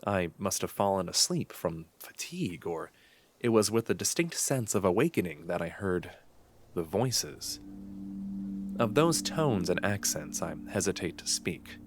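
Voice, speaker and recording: A man's voice: sits low, very animated, measured pace, faint music behind. An actor playing a brooding, obsessed young man who narrates his morbid secrets in solemn, archaic, literary language, in a gothic horror audio drama. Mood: brooding, hushed awe. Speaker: narrator and lead character.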